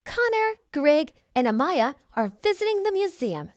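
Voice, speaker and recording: A woman reading a children's storybook aloud in animated narration. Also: high voice, moderate pace, dry recording, muffled recording, faint music behind.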